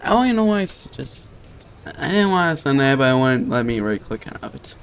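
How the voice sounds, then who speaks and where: A man's voice: mid-range voice, very animated, brisk, a little hiss or hum. A man doing a let's play, reading game text aloud, stumbling over words and breaking in with casual reactions. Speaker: let's player. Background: video game music.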